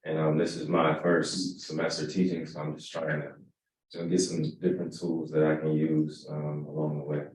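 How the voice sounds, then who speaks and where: A man who is a new lecturer introducing himself calmly. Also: a bass voice, moderate pace. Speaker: new lecturer in sports management. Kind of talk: casual self-introduction. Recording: echoey room from far off, faint background noise.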